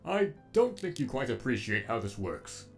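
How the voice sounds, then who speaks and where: A man's voice: mid-range voice, sing-song, moderate pace, soft background music. A man voicing a sadistic, erudite robot villain with an eloquent, menacingly polite delivery, as in a comic dub. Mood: mocking, menacing.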